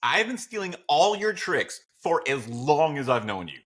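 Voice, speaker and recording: A man's voice: fairly high pitch, big pitch swings, normal speed, a bit bright. A man chatting casually as a podcast guest, talking in a reflective, encouraging and informal way. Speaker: podcast guest, audio drama creator. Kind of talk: casual chat.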